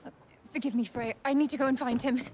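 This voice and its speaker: An actress playing a gentle, earnest young woman, a devoted daughter, in a video game. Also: sits high, steady tone, fast, a little hiss or hum, lightly acted. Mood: worried. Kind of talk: naturalistic video game acting.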